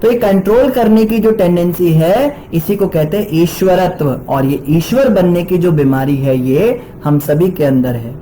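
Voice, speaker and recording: A man's voice: high-pitched, unhurried, hardly any pauses, dark tone, slight background noise, low-quality recording. A man, likely in his twenties, giving a devotional scripture lecture in an explanatory way with rhetorical questions and everyday examples. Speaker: religious teacher giving a scripture class.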